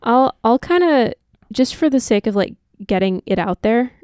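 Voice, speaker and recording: A woman's voice: on the high side, lively intonation, moderate pace, a little mellow, clear, resonant tone, no echo, studio quality. A woman co-hosting a college radio music review, giving opinionated takes from her notes with frequent use of "like". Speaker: co-host of a music review show. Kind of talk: casual music review chat.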